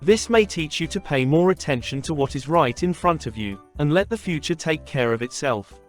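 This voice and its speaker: Man playing a higher-voiced villager. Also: expressive, normal speed, soft background music, close to an everyday voice. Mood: reproving. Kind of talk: cartoon moral story dialogue.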